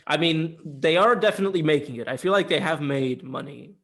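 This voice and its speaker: Man, fairly high voice, casual podcast co-host, informal opinionated movie talk. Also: fairly quick, creaky, a bit bright. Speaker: film review podcast co-host. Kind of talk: casual chat, opinionated film discussion.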